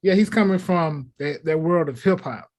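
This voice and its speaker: A man's voice: a little high, normal speed, darkish tone. A man on a talk show panel chatting casually, giving opinions in a colloquial, conversational way.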